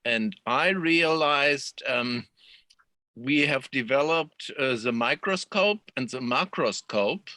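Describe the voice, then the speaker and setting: A man in a group discussion who speaks deliberately and pauses often with fillers. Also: medium pitch, lively intonation, sharp tone, no room sound.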